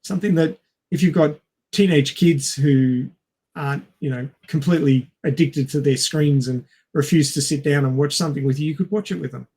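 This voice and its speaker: A man co-hosting a casual film discussion, speaking in a halting, hesitant way and stretching out words. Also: on the high side, darkish tone, husky. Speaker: podcast co-host.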